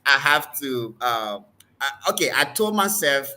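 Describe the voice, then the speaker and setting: A man's voice: medium pitch, big pitch swings, measured pace, pushed and bright. A man with a Liberian accent hosting an online interview, talking with frequent fillers and restarts. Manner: hesitant, many fillers and restarts. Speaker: host, preacher.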